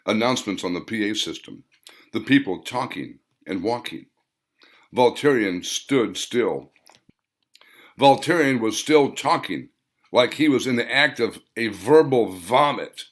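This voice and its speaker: A man's voice: on the low side, lively intonation, unhurried. A man reading his own fiction as a dramatic audiobook narration, with emphatic, theatrical phrasing. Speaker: author reading own novel.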